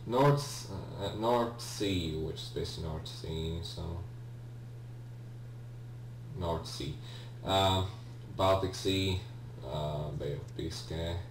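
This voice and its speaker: A man with a low voice talks slowly through a video game as its player and host. He is hesitant and rambling, often correcting himself mid-thought. The recording is echoey, as if the mic sits at a distance in a room. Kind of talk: casual gameplay commentary. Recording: roomy, distant mic with faint hum.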